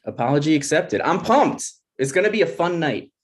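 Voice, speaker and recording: A man's voice: fairly high pitch, very animated, brisk. A man playing a sensitive, jokey twenty-six-year-old boyfriend in a screenplay table read, casual and self-deprecating. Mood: playful, touchy.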